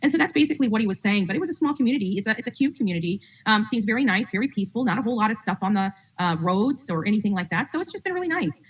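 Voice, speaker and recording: A woman's voice: medium pitch, lively intonation, rapid-fire, hardly any pauses, breathy edge. A woman hosting a true-crime livestream, giving casual, rambling updates to her audience through a distant mic.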